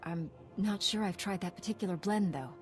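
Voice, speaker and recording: An actress playing a calm, diplomatic female Jedi commander in a video game, polite and composed. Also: mid-range voice, fairly quick, faint music behind, lightly acted. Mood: polite, thoughtful. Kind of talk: video game cutscene acting.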